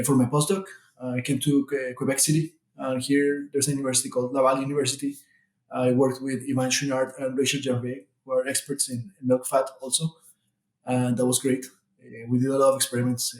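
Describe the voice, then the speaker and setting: This man grew up in Colombia and talks through his research in a careful, explanatory way. He circles back and restarts phrases as he thinks. His voice sits at a middle pitch and carries a roomy echo. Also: darkish tone. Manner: measured, explanatory, many restarts and fillers. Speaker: guest researcher. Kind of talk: interview answers.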